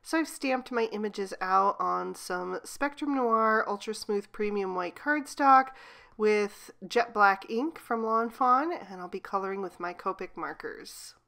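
A woman hosting a craft channel, slightly hoarse from a cold, calmly explaining step by step as she narrates a card-making coloring tutorial. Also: mid-range voice, a little slow.

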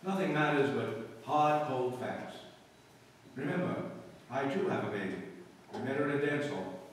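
A man playing a brash, cynical shyster lawyer with quick wisecracking patter in a live stage recreation of a 1930s radio comedy. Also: medium pitch, animated, a little hiss or hum, lightly acted. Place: community hall stage with live audience. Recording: echoey hall from far off.

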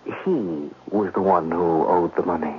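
A man acting a scheming gambler in a 1970s radio drama, with a smooth, sly, theatrical delivery. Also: low-pitched, unhurried. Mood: cool, calculating. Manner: theatrical, sly, feigning innocence. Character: scheming, smooth gambler brother narrating his own plot. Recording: muffled, noisy 1970s radio broadcast.

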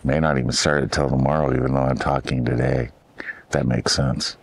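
Older male travel vlogger, raspy and gravelly, rambling narration outdoors. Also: fairly even intonation, a little fast. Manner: low-energy, rambling, thinking aloud. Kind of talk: casual hiking narration. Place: outdoors on a desert trail. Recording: music bed under the voice.

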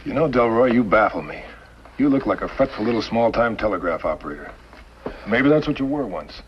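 A man's voice: on the low side, expressive, brisk, a little hiss or hum, natural voice. An actor playing a blunt, sceptical, tough outlaw henchman in a 1950s television western. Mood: sardonic, wary. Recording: muffled old television soundtrack.

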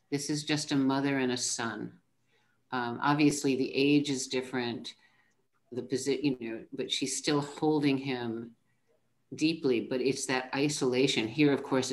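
A participant in an online art discussion, speaking reflectively with pauses over a video call. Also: medium pitch. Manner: reflective, halting.

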